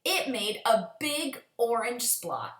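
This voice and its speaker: A woman with a fairly high, very animated voice reads a children's story aloud. Her phrasing is expressive and measured, as a storyteller's is, and the room is clearly echoey. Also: a little hiss or hum. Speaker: storyteller, teacher.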